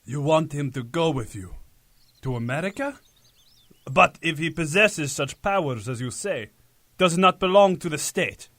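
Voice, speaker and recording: A man's voice: a little high, lively intonation, a little slow, a bit bright, no room sound. Man playing a solemn peasant father, dry sound. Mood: wary. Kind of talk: dramatic audio drama acting.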